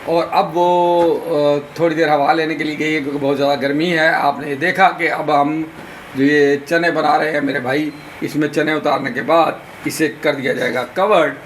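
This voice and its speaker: Hoarse man chatting through a home cooking vlog. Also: a little high, fairly even intonation, moderate pace. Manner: chatty, addresses viewers as brother. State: hoarse, sore throat and fever. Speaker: vlogger. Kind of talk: casual cooking vlog narration. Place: home kitchen. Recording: noisy home video.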